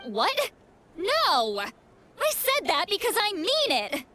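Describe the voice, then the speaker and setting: A woman's voice: very high voice, huge pitch swings, slow, background noise, heavily acted. A woman playing a bossy, blunt, teasing leader and tough granny with hidden fondness in a video game. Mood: gruffly fond. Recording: music playing behind the voice.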